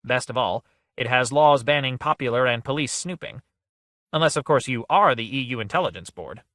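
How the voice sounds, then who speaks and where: A man's voice: mid-range voice, expressive, fairly quick, a bit bright, no room sound, clean studio sound, natural voice. A man voicing a smug, boastful male rabbit avatar with a glib, showy manner.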